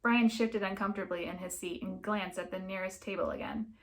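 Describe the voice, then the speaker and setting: A woman's voice: medium pitch, steady tone, a little fast, vocal fry. A woman reading a novel aloud as an audiobook narrator, in scripted story narration.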